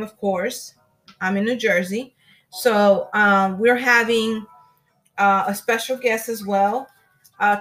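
A woman's voice: on the high side, a bit bright. A young woman hosting an online live show, chatting casually and greeting viewers and her guest with Spanish endearments. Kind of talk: casual live-stream chat.

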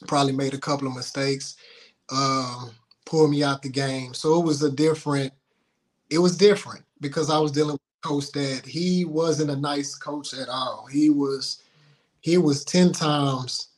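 A man talks casually about his own life. He speaks informally and colloquially, often halting and repeating phrases as he goes, like a guest sharing stories in an interview. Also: on the high side. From Houston, Texas, United States. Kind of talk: casual personal storytelling.

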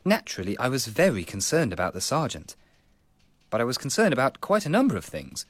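An actor playing an earnest, polite young police constable in a radio comedy, speaking formally and precisely. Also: male voice, medium pitch, huge pitch swings, a little fast. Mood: anxious musing. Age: young adult. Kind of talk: radio comedy drama, first-person narration.

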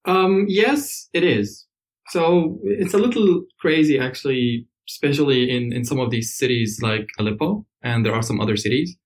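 Mann aus Syrien, nachdenklich erzählend. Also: male voice, mid-range voice, lively intonation, moderate pace. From Syria. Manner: hesitant, reflective, with frequent fillers. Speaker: interview guest. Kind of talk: conversational storytelling. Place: hotel room.

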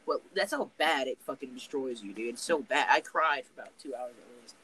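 A young man co-hosting a casual podcast, rambling and swearing freely as he tells animated stories. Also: mid-range voice, brisk, poor audio. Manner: profane, rambling, animated storytelling. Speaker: podcast co-host.

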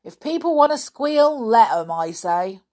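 A woman's voice: a little high, big pitch swings, a little slow, warm tone, voice pushed up. A woman voicing a grumpy, suspicious, deaf old man in a children's story, scolding irritably in rustic turns of phrase. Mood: grudging, slow.

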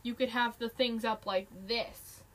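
A woman with a somewhat high voice chats casually as a podcast co-host. She rambles playfully and drifts from thought to thought without much of a plan. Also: steady tone, a little slow, a bit bright. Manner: rambling, playful, jumps between silly topics. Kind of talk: casual chat.

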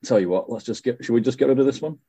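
A man's voice: mid-range voice, racing, mellow, husky, no room sound. A man hosting a podcast interview, chatty, with frequent restarts. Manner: chatty, stumbling restarts, laughs often. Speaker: podcast host.

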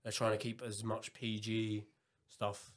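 A man from Kent, England, chatting casually as a podcast guest, animated and full of fillers. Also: fairly low pitch. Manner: animated, quick bursts of excitement, many fillers.

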